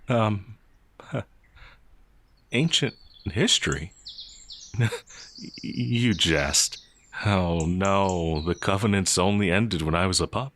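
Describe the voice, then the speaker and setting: A man playing a gentle, naive young peasant werewolf, hesitant and easily flustered, in a fantasy audio roleplay. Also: low voice, expressive, extremely slow, pauses often, slight background noise, full character voice. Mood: puzzled, amused.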